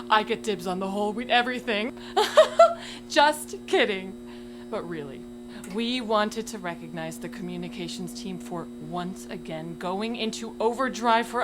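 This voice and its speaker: A woman's voice: mid-range voice, noisy background, natural voice. A woman playing a peppy, self-satisfied office boss giving a jokey, gushing corporate speech in a play.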